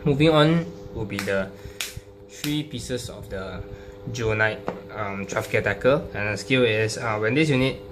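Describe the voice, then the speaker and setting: A man's voice: fairly low pitch, moderate pace. Man explaining cards over noisy, humming recording. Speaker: trading card game player presenting a deck profile. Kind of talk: casual unscripted card-by-card explanation. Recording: clearly noisy home recording with hum.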